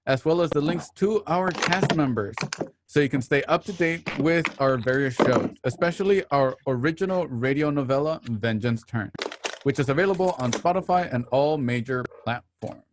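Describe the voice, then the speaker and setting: A man hosting an online radio drama, reading a scripted introduction with measured, announcer-like phrasing. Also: fairly high pitch, very noisy.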